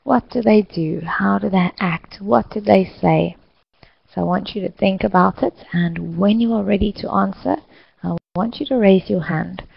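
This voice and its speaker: Woman teacher w/ a really gravelly fry voice, dry close sound, kinda dull audio. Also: medium pitch, dry recording. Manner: slow, clear, encouraging teacher talk. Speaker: English teacher hosting an online class. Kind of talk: scripted online lesson facilitation.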